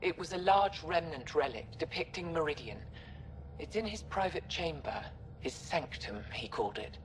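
An actress playing a grave, dignified older alien leader in a video game, speaking formally and with measure. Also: female voice, expressive, normal speed. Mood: grave, gracious. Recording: faint low rumble behind.

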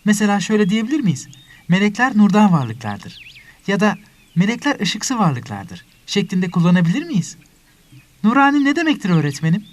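A man's voice: high-pitched, very animated, moderate pace. A man voicing an eager, inquisitive schoolboy who questions things in an educational cartoon. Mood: curious. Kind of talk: scripted educational cartoon dialogue.

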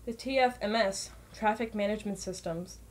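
A woman's voice: medium pitch, moderate pace, a little mellow. A woman reading scripted documentary narration in a plain, informational way, occasionally stumbling over words. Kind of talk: scripted narration, read aloud.

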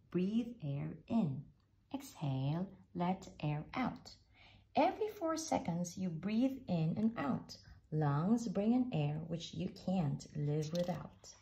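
Woman reading a kids picture book out loud, slow and singsongy, kinda gravelly and mellow. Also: highs cut off. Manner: slow, expressive read-aloud with lively pitch. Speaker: storybook reader.

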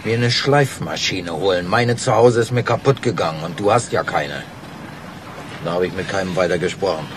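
An actor playing a gruff, evasive elevator fitter in a German radio crime drama, dismissive and placating. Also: male voice, sits low, moderate pace, close to an everyday voice. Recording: noisy ambience behind the voice.

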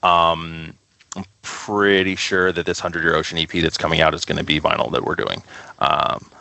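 A man talks casually as an interview guest. His voice is low and gravelly with fry, and the sound is close and dry. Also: fairly even intonation, normal speed, bright, forceful tone, no room sound. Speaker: record label co-founder, interview guest.

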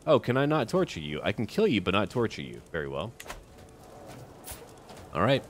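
A man's voice: on the low side, sing-song, moderate pace, a little hiss or hum. A man giving casual running commentary on a strategy game, talking to himself and to the game's characters. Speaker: gameplay video host. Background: music.

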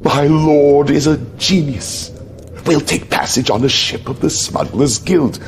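A man's voice: fairly high pitch, unhurried, slight background noise. An actor playing a middle-aged, loyal military aide in a game, dramatic and deferential toward his lord. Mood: excited. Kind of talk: video game cutscene acting.

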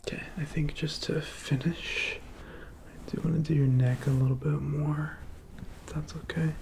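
A man in his twenties speaking softly and reassuringly in an ASMR personal attention roleplay, close to the mic in a small room. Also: on the high side, fairly even intonation, moderate pace, vocal fry, a little hiss or hum. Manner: gentle, reassuring, half-whispered. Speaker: ASMR performer. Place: small dim room indoors.

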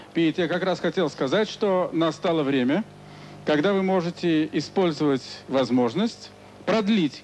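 A middle-aged presenter speaks formally and evenly into a handheld mic in a large studio. His voice is fairly high, with faint hiss behind it. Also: darkish tone, rough recording. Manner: formal, measured. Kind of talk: broadcast moderation.

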